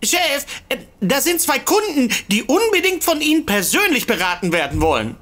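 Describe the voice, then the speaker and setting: Nervöse, hohe, lebhafte Büroassistentin. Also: female voice, high-pitched, big pitch swings, normal speed, fairly bright tone, slight background noise. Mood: flustered, obliging. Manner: flustered, hesitant, giggly. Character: nervous, obliging female office assistant at a brokerage. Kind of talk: comedic audio drama acting.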